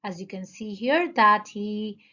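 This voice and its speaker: A woman's voice: medium pitch, lively intonation, a little slow, sharp tone, lo-fi sound. A woman teacher going over listening answers with her students, speaking carefully and addressing them directly. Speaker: English teacher. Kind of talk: slow classroom answer review.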